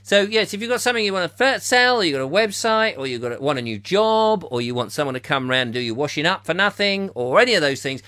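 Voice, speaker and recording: A man hosting a comic live chat show, playful and theatrical, slightly hoarse, slipping into silly voices. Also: a little high, lively intonation, fast talker, few pauses, bright, forceful tone, no room sound. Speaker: comedy chat show host.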